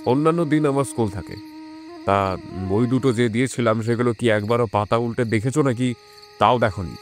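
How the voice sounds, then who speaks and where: A man playing a reassuring, persuasive village schoolmaster with a gentle, earnest manner in an audio drama. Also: mid-range voice, fairly quick, slight background noise, close to an everyday voice. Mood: encouraging. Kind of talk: dramatised audio horror story acting.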